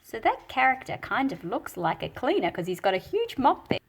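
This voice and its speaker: A woman reading a storybook aloud and explaining it as she goes, in an expressive, deliberate manner with a slightly husky, breathy quality. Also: on the high side, noisy background. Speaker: picture book read-aloud host. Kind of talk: storybook read-aloud with teaching commentary.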